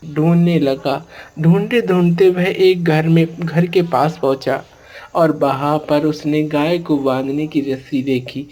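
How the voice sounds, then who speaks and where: Slow-paced woman storyteller. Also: deep voice, fairly even intonation, warm tone. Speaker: narrator. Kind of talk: cartoon storytelling narration.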